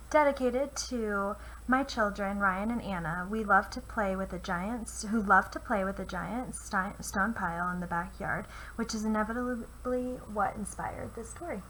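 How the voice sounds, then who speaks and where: A woman reading a children's picture book aloud with expressive, deliberate phrasing, as a teacher would for young listeners. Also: mid-range voice. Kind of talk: children's storybook read-aloud. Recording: noisy home recording.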